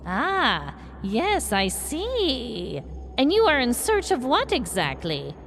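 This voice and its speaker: A sly old witch shopkeeper in a Halloween audio skit. Her voice sits fairly high and swoops dramatically as she coaxes and warns in theatrical, drawn-out phrases. Noticeable noise sits behind her. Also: huge pitch swings, heavily acted. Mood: sly, coaxing. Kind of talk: scripted Halloween podcast skit.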